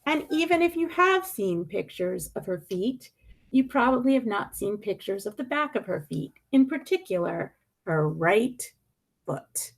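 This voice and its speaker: A woman reading a picture book aloud expressively, with deliberate dramatic emphasis and pointed pauses. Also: mid-range voice, sing-song, low-quality recording.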